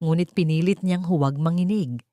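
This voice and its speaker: Female narrator for dramatic audio fiction: slightly low pitch, solemn suspenseful delivery, dry close sound. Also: normal speed, warm tone, dry recording. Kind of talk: dramatic scripted story narration.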